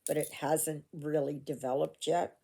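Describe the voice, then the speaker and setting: Woman, older, from Canada, low-pitched, unhurried, chatty home vlog, phone mic. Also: flat delivery, vocal fry, warm tone, breathy edge. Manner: rambling, chatty, with fillers and asides. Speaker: cross-stitch vlogger. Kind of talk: casual chat while stitching. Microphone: phone camera.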